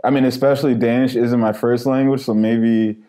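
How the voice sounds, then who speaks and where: A young man from Los Angeles rambles through a story as a podcast guest. He fills his talk with "like" and laughs as he goes. His voice is warm and mellow, at an ordinary pitch. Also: little pitch movement, a little fast. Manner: loose storyteller, heavy on "like", laughs as he talks. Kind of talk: casual chat.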